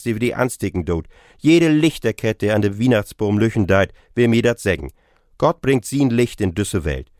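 A man's voice: mid-range voice, darkish tone, no room sound. Northern German man, dry close sound. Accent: northern German, Low German. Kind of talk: scripted radio devotional.

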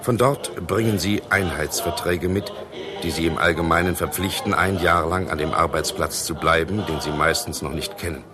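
A man's voice: deep voice, normal speed, music in the background. A man narrating a 1960s television news report in a formal announcer delivery. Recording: old 1960s TV archive clip with crowd behind.

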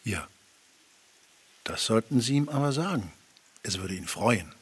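An actor playing a tactful family friend who gives gentle, calm advice in radio drama dialogue, with a husky voice. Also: male voice, fairly low pitch, measured pace, exaggerated voice. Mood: gently advising.

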